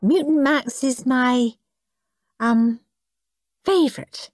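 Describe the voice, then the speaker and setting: Lady doing an old granny, sweet polite gushing, a bit hesitant, raised slow voice, storybook. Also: sits high, darkish tone, no room sound, studio quality, voice pushed up. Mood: politely gushing. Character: polite, doting elderly granny.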